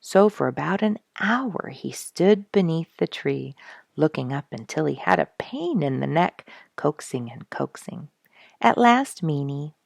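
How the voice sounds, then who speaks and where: A woman reading a children's animal story aloud in an expressive, dramatic storyteller's manner. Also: sits low, vocal fry, dry recording, studio quality. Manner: dramatic, rhetorical questioning delivery.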